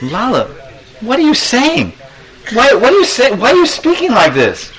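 Man acting a worried, coaxing mother, raised pitch. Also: lively intonation, a little slow, a bit bright, low-quality recording. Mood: concerned. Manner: coaxing, concerned questioning. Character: gentle, worried mother soothing her small son.

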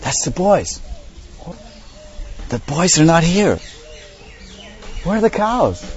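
A man voicing an alarmed young cowherd hero who speaks urgently and asks question after question. The voice is raised in pitch but kept quiet. The recording is dull and lo-fi. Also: very animated, slow pace, soft background music.

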